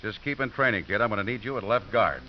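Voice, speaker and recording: A man's voice: lowish voice, expressive, quick pace, close to an everyday voice. An actor playing a sardonic football coach and father in a 1950s radio drama, with a dry, wisecracking manner. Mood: weary, joking. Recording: dull, noisy 1950s radio recording.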